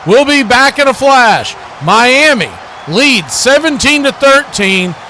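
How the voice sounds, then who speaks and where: High-pitched, bright-voiced male football play-by-play commentator. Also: slow pace, a little hiss or hum. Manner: excitable, punchy clipped phrases. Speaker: play-by-play commentator on a livestream watch party.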